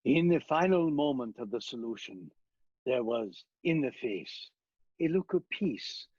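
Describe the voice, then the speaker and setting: A man playing a commanding vampire hunter in a radio play, speaking with solemn, dramatic urgency and a husky voice. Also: medium pitch, expressive, a little slow, darkish tone, dry recording, dull audio.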